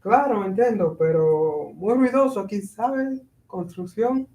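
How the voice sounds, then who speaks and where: High, mellow-voiced young construction worker, played by a man. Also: moderate pace. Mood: sheepish. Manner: hesitant, casual. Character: evasive, easygoing young construction worker, American-born. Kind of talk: staged play reading. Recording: roomy, echoing sound.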